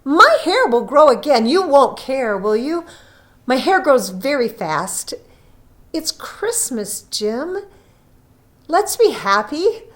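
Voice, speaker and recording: Woman acting an eager, high-pitched young wife. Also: very animated, takes it slowly, a bit bright, exaggerated voice. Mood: anxious cheer. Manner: breathlessly emphatic, pleading. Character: eager, loving young wife, pleading and excitable. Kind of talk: storybook character reading.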